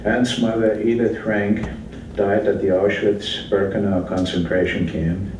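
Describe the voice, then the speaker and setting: A man's voice: lowish voice, little pitch movement, a little slow, darkish tone, lightly acted. A man acting on stage as a survivor, reciting his lines plainly and steadily. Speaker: stage actor playing a survivor. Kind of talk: staged theatrical narration. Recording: echoey hall from far off, with hiss.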